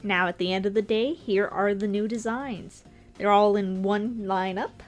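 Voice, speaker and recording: A woman's voice: medium pitch, fairly even intonation, a little slow. A woman narrating her own art video as a casual commentary, informal and lightly self-deprecating, with a joking touch. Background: music.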